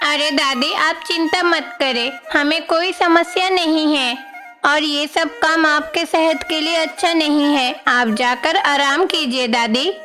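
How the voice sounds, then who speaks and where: A woman's voice: high-pitched, takes it slowly, pushed and bright, faint music behind, full character voice. A woman voicing an earnest, caring young boy god in a devotional story. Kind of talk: devotional animated story dialogue.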